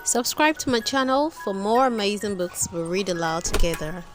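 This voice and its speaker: A woman reading a children's storybook aloud in an expressive storytelling manner, with dramatic emphasis. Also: medium pitch, moderate pace, over music. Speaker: storybook reader and channel host.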